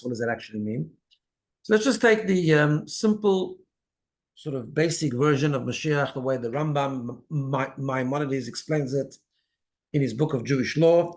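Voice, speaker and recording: A man speaking as a rabbi and co-host, teaching religion conversationally and explaining step by step. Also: medium pitch.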